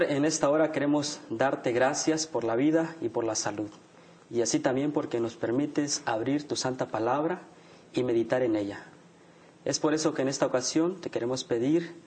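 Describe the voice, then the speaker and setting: A young man from Chiapas, Mexico, a theology student who prays and reads scripture slowly and carefully. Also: mid-range voice, a little mellow.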